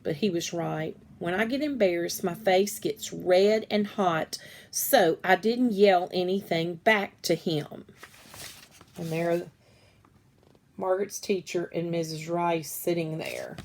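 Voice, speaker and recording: A woman reading a children's story aloud in an expressive storytelling style. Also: fairly low pitch, takes it slowly, gravelly, slight background noise. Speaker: read-aloud reader.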